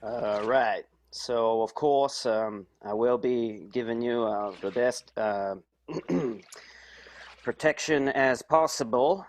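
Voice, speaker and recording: A man's voice: mid-range voice, close to an everyday voice. A man playing a dutiful, hesitant bodyguard in an improvised radio soap. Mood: earnest, hesitant. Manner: halting.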